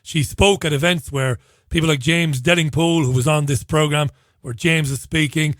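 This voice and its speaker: Hoarse radio host guy, bit higher voice, dry close sound, rambling wry asides. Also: steady tone, normal speed, a bit bright, no room sound. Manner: chatty, wry asides, self-interrupting. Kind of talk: casual talk radio monologue.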